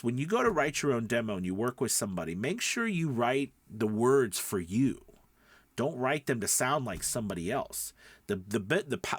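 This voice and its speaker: A man's voice: mid-range voice, no echo. A man coaching listeners in a casual, conversational, encouraging way, stressing key words by drawing them out and often checking in as he explains. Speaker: host and voice-over coach. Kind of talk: casual instructional talk to listeners.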